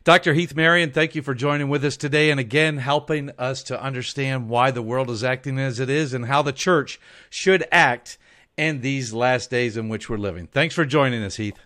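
A man's voice: medium pitch, normal speed, fairly bright tone. A man hosting a radio interview who encourages his guest with frequent short affirmations. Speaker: radio host.

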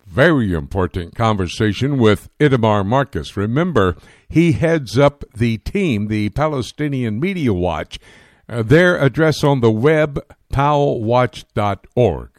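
An American man hosting a religious current-affairs radio interview, speaking in a formal, emphatic and deliberate way. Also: mid-range voice, expressive, no echo, studio quality. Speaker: radio show host.

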